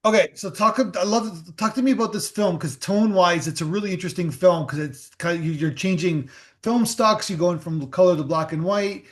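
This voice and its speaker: A man's voice: high-pitched, fairly quick. Rambling man hosting an interview. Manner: rambling, frequent restarts and repeated words. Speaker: podcast host. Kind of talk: casual interview talk.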